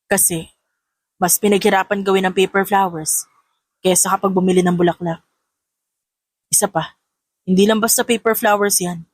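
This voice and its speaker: A woman's voice: mid-range voice, steady tone, normal speed, long pauses, no echo, pitched below the performer's own voice, lightly acted. A woman voicing a lovestruck, earnest young father in a romantic audio drama, speaking tenderly and sincerely. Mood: sheepish, earnest.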